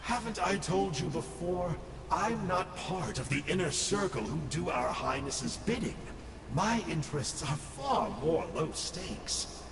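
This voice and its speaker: A man's voice: high-pitched, lively intonation, unhurried, creaky, long reverb tail, distant mic, noisy background, heavily acted. An actor playing a sly, theatrical male Abyss scholar who talks in a glib, mocking way.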